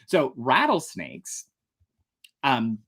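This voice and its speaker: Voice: mid-range voice, wildly swooping pitch, extremely slow, fairly bright tone, breathy edge. An American storytime host who speaks expressively, moving between dramatic narration and chatty, casual asides. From United States, Oklahoma. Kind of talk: animated storytime reading with casual fun-fact asides.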